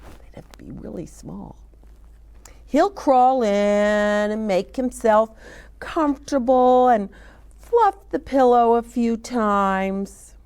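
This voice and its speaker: A woman's voice: fairly high pitch, slow, darkish tone, smooth. A woman hosting children's storytime, reading a picture book aloud expressively with chatty asides to young listeners.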